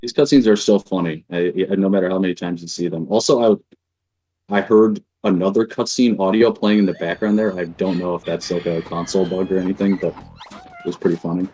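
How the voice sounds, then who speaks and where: A man's voice: low voice, steady tone, a little fast, warm tone, breathy edge, rough recording. A man giving casual live commentary as he speedruns a game. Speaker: speedrunner commentating own run.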